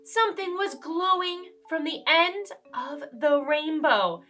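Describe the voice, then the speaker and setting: A woman reading a children's storybook aloud in an expressive way, speaking directly to young listeners. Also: high voice, takes it slowly, faint music behind. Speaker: children's read-aloud host. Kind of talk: animated children's storybook narration.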